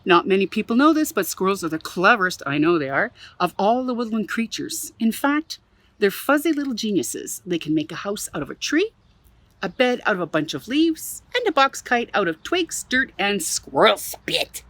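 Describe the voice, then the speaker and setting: A woman from Canada reading a children's picture book aloud in her backyard for a museum, playful and expressive, with exclaimed asides. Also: mid-range voice, normal speed, no room sound. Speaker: museum storyteller. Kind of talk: children's storybook read-aloud. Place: own backyard.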